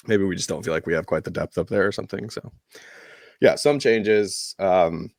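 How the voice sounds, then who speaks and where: A man with a low voice talks through sport as a podcast co-host. His delivery is casual and rambling, feeling its way through each point. The sound is close and dry. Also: gravelly, no room sound. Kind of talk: casual sports chat, match recap.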